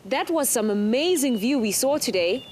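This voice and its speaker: A woman's voice: on the high side, lively intonation, normal speed, darkish tone, a little hiss or hum, lightly acted. A woman playing a cheerful, encouraging friend to young children, presenting in simple, upbeat phrases. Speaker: children's show presenter character.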